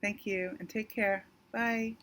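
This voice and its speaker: A woman's voice: medium pitch, steady tone, takes it slowly, a bit bright, poor audio. A woman who writes thrillers, presenting a trivia question about her book. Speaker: author of young adult thrillers.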